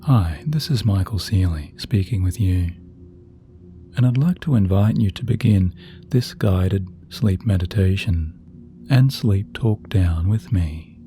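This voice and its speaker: A man narrating a sleep meditation in a soft, gentle, soothing voice with slow, measured phrasing. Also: on the low side. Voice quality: soft, very dark and mellow. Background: relaxing background music.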